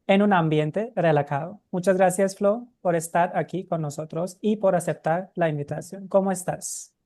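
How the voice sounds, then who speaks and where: A man co-hosting an interview podcast, talking in a conversational way and often tagging questions with a quick "no?". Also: high-pitched, darkish tone. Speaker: podcast co-host. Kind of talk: casual interview.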